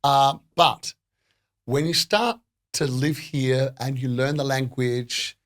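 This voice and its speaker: Thoughtful man in his fifties, mid-pitched. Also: fairly even intonation, slow pace, a bit bright. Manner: reflective, self-conscious, thoughtful. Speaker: podcast host, pianist. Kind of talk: casual podcast conversation.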